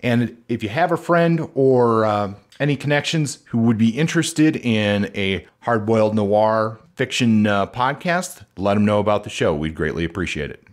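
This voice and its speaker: A man with a very low, deep voice hosts a podcast conversation. He talks in a relaxed, easygoing way and pauses often on fillers. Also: a little hiss or hum. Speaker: podcast host.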